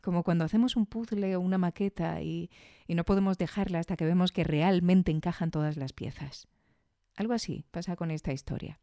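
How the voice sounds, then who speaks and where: A woman from Spain with a lowish, mellow voice presents a podcast review. She talks conversationally, dropping in chatty asides and checking in with the listener. The recording is dry and close, with no room sound. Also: brisk, no room sound. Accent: Spanish from Spain. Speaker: podcast host. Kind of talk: casual scripted podcast review.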